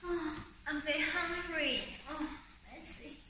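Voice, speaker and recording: A woman acts out a sweet little mole with a very high, bright voice. The timid creature speaks in short, simple exclamations for a children's story play. It sounds distant in a very echoey room. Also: fairly even intonation, slow pace, a little hiss or hum, exaggerated voice. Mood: hungry, excited. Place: indoor children's classroom. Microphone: far camera. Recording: echoey classroom, far from microphone.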